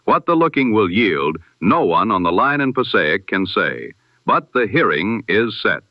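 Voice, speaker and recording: Old timey 1920s radio news guy, lowish voice, formal and solemn, muffled radio filter sound. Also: very animated, dry recording. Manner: solemn, formal, measured oratorical delivery. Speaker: news bulletin announcer. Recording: dull, band-limited vintage radio sound.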